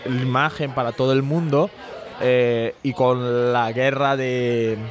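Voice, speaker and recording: A man's voice: medium pitch, fairly even intonation, takes it slowly. A man from Bangladesh, a non-native speaker whose first language is Bengali, patiently explaining history as a guest in a casual interview. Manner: patient and explanatory, with restarts and fillers. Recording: crowd noise behind.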